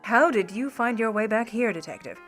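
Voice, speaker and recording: Lady as cool catty 50s hollywood dame, radio play, affected and snooty, soft music behind. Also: fairly high pitch, expressive, moderate pace, natural voice. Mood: cool, disdainful. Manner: cool, affected, condescending endearments. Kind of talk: 1950s radio drama acting.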